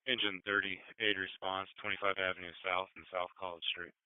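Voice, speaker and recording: Male dispatcher, very low and even, smooth delivery, procedural phrasing, narrow-band radio sound. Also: brisk, no room sound. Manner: flat, procedural, clipped phrases. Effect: two-way radio. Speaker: fire and medical dispatcher. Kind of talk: terse emergency radio dispatching. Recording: narrow tinny police scanner radio feed.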